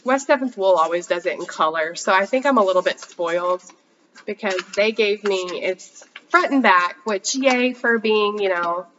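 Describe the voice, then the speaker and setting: Congested, chatty female host, bright forceful tone, slightly dull lo-fi audio. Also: mid-range voice, moderate pace, slight background noise. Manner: chatty, rambling, many fillers. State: congested from a cold. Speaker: podcast host. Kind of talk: casual chat showing yarn haul.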